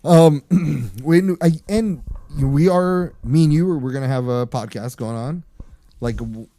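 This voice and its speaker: Man, mellow tone, halting speech, dry close sound. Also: medium pitch, dry recording. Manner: halting, restarts often. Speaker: podcast co-host. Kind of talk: casual group chat.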